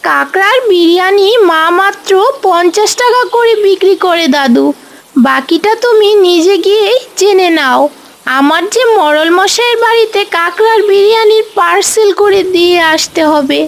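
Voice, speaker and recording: A woman voicing a chirpy, helpful young village daughter in an animated folk tale. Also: very high voice, moderate pace. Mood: cheerful.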